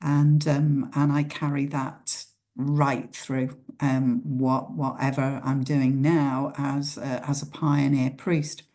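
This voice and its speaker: A woman's voice: rumbling low, flat delivery, takes it slowly, dark tone. A middle-aged woman priest with a Scouse accent, talking thoughtfully about her working life with many ums and uhs. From Liverpool, England. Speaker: pioneer priest. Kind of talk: reflective personal talk.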